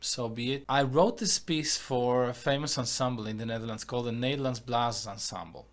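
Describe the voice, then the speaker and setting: A man from Argentina who grew up in Israel, a composer talking in a casual video-call interview, reflective and halting with many restarts. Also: mid-range voice. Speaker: composer and musician, guest. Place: home room, indoors.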